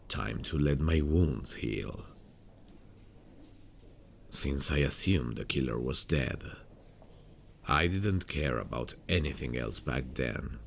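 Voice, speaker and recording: A man playing a weary, haunted detective in an intimate ASMR roleplay, speaking to a partner softly and without hurry. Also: rumbling low, gravelly, slight background noise, full character voice. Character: weary, haunted male detective hunting a serial killer.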